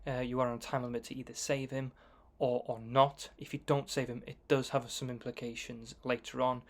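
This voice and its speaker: Medium-pitched man giving game commentary. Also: little pitch movement, fairly quick. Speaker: gaming video commentator. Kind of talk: explanatory voice-over commentary.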